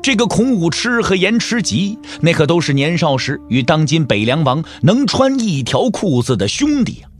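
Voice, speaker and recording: A man narrates a martial-arts novel as a dramatic storyteller. His voice sits a little high and moves with lively swings, wry and theatrical, over soft music. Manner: theatrical, wry, with rhetorical questions. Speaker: audiobook narrator.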